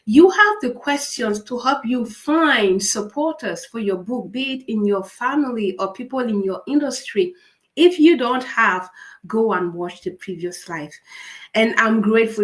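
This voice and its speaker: A woman with a raspy voice from a cold, hosting a live writing-coaching session, upbeat and encouraging, asking viewers many questions. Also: medium pitch, rough recording. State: fighting a cold. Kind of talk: live-stream coaching talk.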